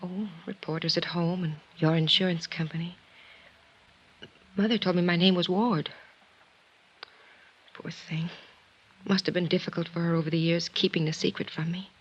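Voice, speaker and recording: An actress playing a composed, quietly intense woman of twenty-one with a husky voice, in a 1950s radio drama. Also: fairly low pitch, steady tone, normal speed, long pauses. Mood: cool, guarded. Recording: dull old 1950s radio recording.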